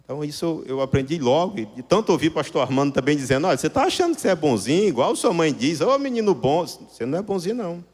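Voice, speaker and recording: Northeast guy preaching, kinda high voice, explains stuff step by step, lots of hall reverb. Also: very animated, fast talker, few pauses, darkish tone. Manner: didactic, rephrasing points with rhetorical questions. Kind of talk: sermon to a congregation.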